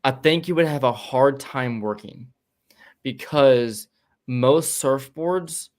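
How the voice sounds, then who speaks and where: A man hosts a podcast in a loose, laid-back style, joking around with his guests. His voice sits at an ordinary middle pitch. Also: takes it slowly. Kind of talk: casual chat.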